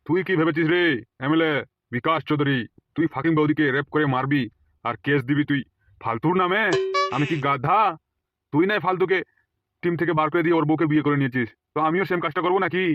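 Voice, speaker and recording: A man's voice: fairly high pitch, fast, phone-quality sound, natural voice. A man voicing a boastful, threatening village gang leader in a comic cartoon, taunting with rhetorical questions. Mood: accusing, menacing.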